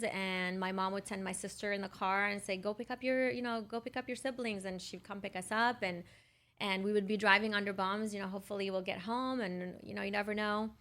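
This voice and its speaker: Middle-aged woman, medium-pitched, telling her story. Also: a bit bright. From Lebanon. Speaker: podcast guest, dentist. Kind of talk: conversational personal storytelling. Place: podcast studio.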